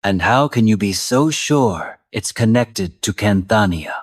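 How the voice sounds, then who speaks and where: A man's voice: fairly low pitch, animated, measured pace. A man voicing a young Filipino man who guards the way to a hidden kingdom, talking in a teasing, skeptical way. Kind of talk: amateur animated voice acting.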